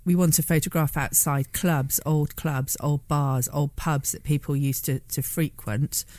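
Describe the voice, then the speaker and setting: A woman's voice: low-pitched, steady tone, measured pace, mellow, no echo. A woman author as a guest on a radio interview, speaking hesitantly with lots of ums and sort-ofs. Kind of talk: casual interview.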